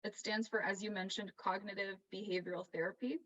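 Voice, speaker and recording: Soft-voiced woman on a muffled call. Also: medium pitch. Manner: calm, explanatory, measured. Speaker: therapist and author. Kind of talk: panel conversation over video call. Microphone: video call audio. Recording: muffled distant video call audio.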